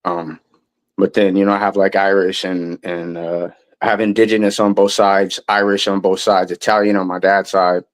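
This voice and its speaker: A man's voice: deep voice, level tone, moderate pace. A man in a casual interview, talking in a loose, rambling way with lots of restarts and some swearing. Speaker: guest musician.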